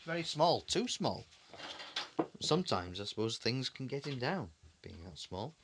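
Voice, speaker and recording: A man's voice: mid-range voice, very animated, unhurried, noisy background. A man reading a bedtime story to his young child in a conversational way, with questioning asides and dramatic repetition.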